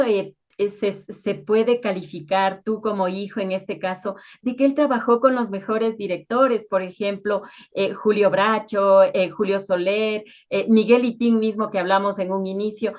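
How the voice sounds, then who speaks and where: A woman asking conversational questions as an interviewer. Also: medium pitch. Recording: slightly dull lo-fi sound.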